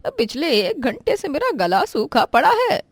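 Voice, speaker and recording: Female narrator as meek Indian-family matriarch, older, affectionate and imploring, lively pitch movement. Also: measured pace, no room sound, full character voice. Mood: pleading. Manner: pleading, affectionate, self-effacing. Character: meek, kindly, thirsty elderly mother-in-law.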